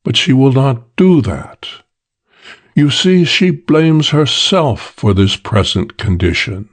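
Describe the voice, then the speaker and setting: Casting: male reader playing an earnest, businesslike client, medium-pitched and creaky, formal and deliberate, for a detective audiobook. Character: earnest businesslike man hiring a detective to find someone.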